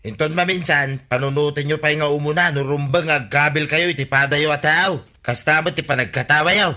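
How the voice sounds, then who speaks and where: A man's voice: a little high, fairly quick, sharp tone. A man acting a scolding, plain-spoken villager in a radio comedy-drama, with an Ilocano accent. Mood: admonishing.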